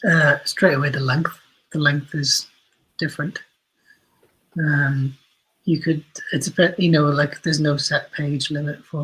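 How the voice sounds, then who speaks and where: Higher-pitched man, husky and even-toned. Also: poor audio. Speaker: interview guest, writer for audio and screen. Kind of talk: conversational interview answers.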